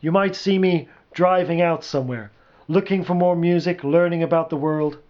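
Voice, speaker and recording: A man's voice: fairly high pitch, moderate pace, highs cut off, close to an everyday voice. A man voicing a brash, determined rock roadie turned battlefield general, speaking emphatically in a storybook reading. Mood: resigned.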